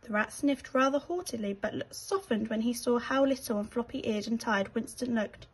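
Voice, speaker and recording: A woman with a British accent reading a children's bedtime story aloud in an expressive storytelling style. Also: on the high side, moderate pace, breathy edge.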